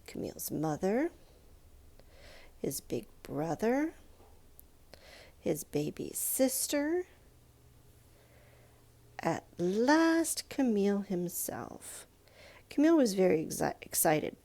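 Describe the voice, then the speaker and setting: A woman reading a children's picture book aloud in a measured, expressive storytelling manner, with pauses. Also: medium pitch. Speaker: storybook reader.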